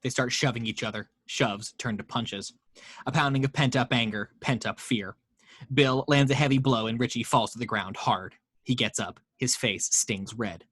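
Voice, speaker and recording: A man's voice: medium pitch, fairly bright tone, husky, dry recording. A man narrating the stage directions at a casual home table read. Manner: brisk reading of stage directions. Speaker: narrator reading action lines.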